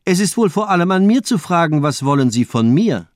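A cold, superior villain doctor of radio crime drama. He speaks with calm, mocking politeness and very mobile intonation in a dry studio sound. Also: on the high side, fairly quick, no room sound.

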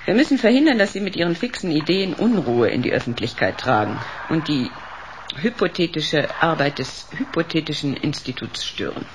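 An actress playing a cold, authoritative professor of psychiatry in a radio drama, measured and clinical. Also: female voice, low voice, big pitch swings, a little hiss or hum, rough recording. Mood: cold, menacing. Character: cold, authoritative female professor running a locked psychiatric ward.

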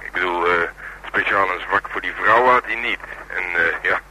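A gleefully morbid police detective in a radio play. His low voice is very sharp and forceful, and he savours each detail with dry sarcasm. A faint hiss sits under the recording. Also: poor audio. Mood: gleeful, sardonic. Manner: sardonic, drawn-out storytelling with relish. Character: gruff, gleefully morbid male murder-squad detective nicknamed Dragon. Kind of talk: Dutch radio drama acting.

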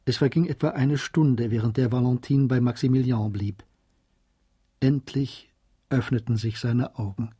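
A man narrating a literary German radio drama in a measured, composed storytelling manner. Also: mid-range voice, fairly even intonation, mellow, slightly rough, no room sound, clean studio sound.

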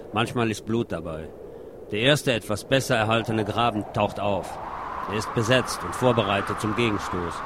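A man reads literary prose aloud in a low-pitched voice. Clear urban noise, like passing vehicles, runs behind his reading. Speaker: reader. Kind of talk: literary reading of a war novel.

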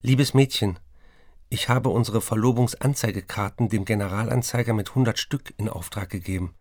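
A man's voice: on the low side, moderate pace, darkish tone, dry recording. An actor playing a besotted, restless writer in a radio play, reading his letters aloud with dry, precise, literary phrasing. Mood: matter-of-fact.

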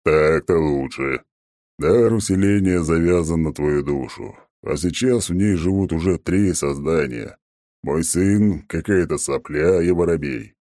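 Brief: male narrator doing a haughty ancient tree god, extremely low and dark-toned, drawling, dry close sound. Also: no echo. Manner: haughty, mocking, slow and drawn-out. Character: smug arrogant male oak-tree god. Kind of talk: audiobook character voice.

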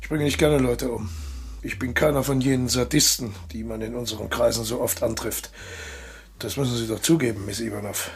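An actor in a radio drama playing a suave, cold-blooded traitor colonel, courteous and condescending, with a husky, gravelly voice. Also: male voice, mid-range voice, steady tone, brisk, lightly acted. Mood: mock regret.

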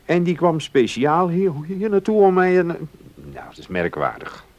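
Genial well-off gentleman, lively varied pitch, curious, measured, polite, radio play. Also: lo-fi sound. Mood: pleasant, musing. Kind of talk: Dutch radio play acting.

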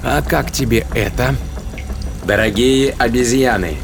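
A man playing a sardonic, impatient spaceship captain, gruff and muttering, in a sci-fi audio drama. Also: medium pitch, a little slow. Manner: muttering to himself, sarcastic, gruff commands. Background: dramatic music and effects.

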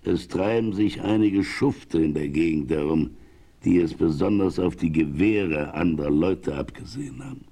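An actor playing a righteous, authoritative homesteader in a German western radio play, speaking deliberately and sternly. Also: male voice, low voice, expressive, vocal fry, mellow, exaggerated voice. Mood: grave warning. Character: stern, righteous frontier homesteader laying down the law.

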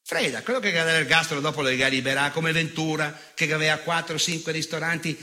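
A bitter, sneering old fascist. His voice is piercing and tense, pitched a little high for a man. He declaims a theatrical monologue with sardonic menace, repeating phrases and pausing for effect. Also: steady tone, bright, forceful tone. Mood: scornful.

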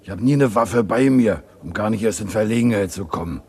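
A cocky small-time burglar in a vintage radio crime drama. His voice is low and gravelly with a husky rasp, and he talks in cheeky streetwise slang. He sounds rough and sly. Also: a little fast, darkish tone.

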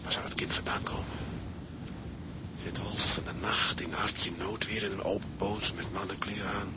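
Man acting low-voiced, bossy seaman in heavy noise. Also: fairly even intonation, moderate pace, lightly acted. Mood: hushed, wondering. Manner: curt orders, poetic musing.

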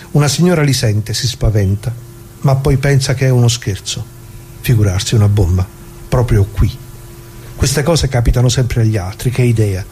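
An actor playing an anguished, plain-spoken ordinary man who muses haltingly and repeats himself in a theatrical radio monologue. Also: medium pitch, dark tone, a little hiss or hum, lightly acted. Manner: halting, musing, rhetorical questions, repeats himself.

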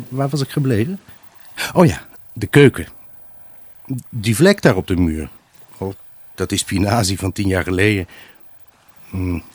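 An actor playing a wistful, evasive husband left alone, in a halting, pensive radio drama monologue. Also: male voice, deep voice, darkish tone, slightly rough, dry recording, heavily acted. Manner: halting, pensive, addressing an unseen buyer. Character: wistful, evasive abandoned husband selling his house.

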